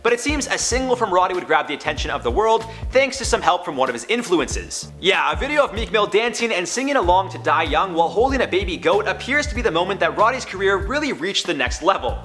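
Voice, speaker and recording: A man hosting and narrating a scripted biography video in a brisk, upbeat storytelling manner. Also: sits high, big pitch swings, fairly bright tone, faint music behind. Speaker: host.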